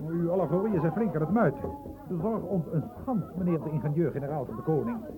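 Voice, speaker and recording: An actor in a radio play giving a solemn chorus voice that echoes the names of towns. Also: male voice, a little high, fast talker, narrow, tinny audio, crowd noise, natural voice.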